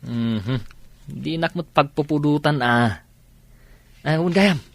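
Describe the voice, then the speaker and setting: A man's voice: a little high, slow pace, vocal fry, no room sound. A man with an Ilocano accent playing a teasing, easygoing buddy in a radio comedy drama.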